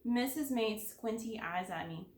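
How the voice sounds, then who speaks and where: A woman's voice: a little high, animated, measured pace, slight background noise. A woman reading a children's story aloud expressively, as a kindergarten teacher does for her class. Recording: echoey room.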